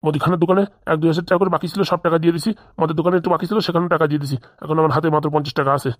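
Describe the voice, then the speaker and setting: A grumpy older cartoon husband, voiced by a woman, bickers at a very rapid pace in a lowish pitch. He is defensive, gruff and dismissive. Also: steady tone, dry recording, studio quality. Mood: irritated, defensive. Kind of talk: comedy cartoon dialogue.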